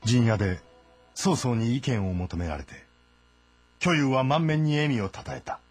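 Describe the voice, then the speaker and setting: A man's voice: medium pitch, sing-song, normal speed, darkish tone. A man giving scripted, dramatic narration of a historical story as its narrator. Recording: music behind the voice. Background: background music.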